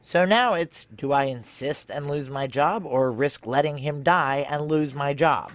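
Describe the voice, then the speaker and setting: Tense, forceful American security chief. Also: male voice, fairly high pitch, measured pace, dry recording. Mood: tense narration. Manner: clipped, wry, keyed-up. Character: tense, deferential male security chief narrating a noir thriller. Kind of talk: audio drama acting with first-person present-tense narration.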